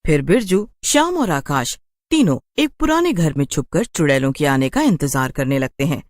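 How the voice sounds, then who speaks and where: A man's voice: fairly high pitch, big pitch swings, moderate pace, dry recording. A man narrating a scripted cartoon horror story as its storyteller.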